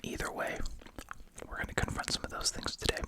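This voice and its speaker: An ASMR host speaking entirely in a soft, breathy whisper, musing casually while chewing gum. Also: a little fast.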